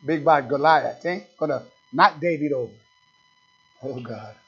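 High-voiced pastor preaching with fire. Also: male voice, vocal fry, breathy edge. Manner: swings from low asides to loud preaching; reads scripture slowly and with emphasis. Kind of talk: fiery sermon. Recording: dull, lo-fi recording.

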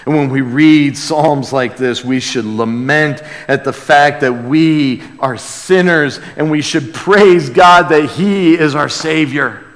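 A male pastor teaches from the pulpit in a fairly high voice, with long reverb trailing in a big hall. He speaks conversationally but earnestly, breaking into exclamations and repeating phrases to press them home. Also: slow pace, few pauses. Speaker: pastor preaching to a congregation. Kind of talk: sermon, conversational Bible teaching with call and response.

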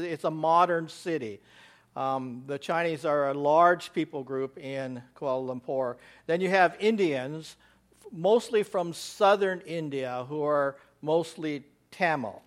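An American missionary giving a church slide presentation, rambling with many fillers and restarts. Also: male voice, on the high side, a bit bright. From United States.

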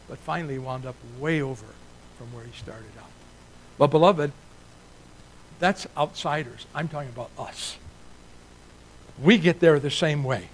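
A man's voice: a little high, rough recording. An older man born in Italy, preaching a sermon to a church in an earnest, emphatic way, building points through repeated lists. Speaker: guest preacher.

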